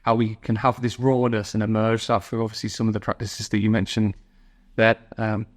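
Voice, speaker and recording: A man's voice: fairly low pitch, level tone, brisk. A man hosting a conversational interview, putting long, meandering, tentatively phrased questions to his guest. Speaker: podcast interviewer, host.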